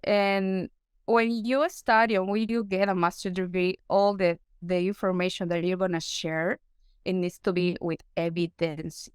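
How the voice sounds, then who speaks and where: A woman's voice: fairly low pitch, steady tone, smooth, no room sound. A Peruvian woman, a native Spanish speaker, who answers interview questions in careful English and often searches for the right word. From Peru. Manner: halting, searching for English words. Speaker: guest consultant, periodontist.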